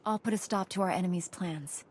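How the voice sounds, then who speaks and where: A woman's voice: on the low side, fairly quick, husky. An actress playing a principled female knight in a video game, composed and direct. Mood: composed, firm.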